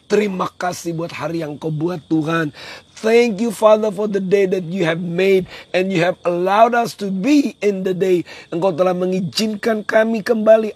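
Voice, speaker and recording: A man with a hoarse voice, preaching a devotional in an emphatic, repetitive way. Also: high-pitched, a little mellow, no echo. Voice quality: hoarse, husky. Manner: emphatic, repeats phrases for effect. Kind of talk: devotional sermon on a livestream, switching into English at times.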